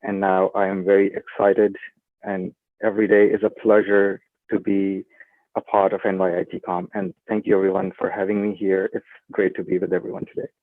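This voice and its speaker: A man's voice: low voice, level tone, fairly quick, no echo. A man from Pakistan, a medical student, introducing himself on a panel in an earnest, polite way. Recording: tinny phone-like audio.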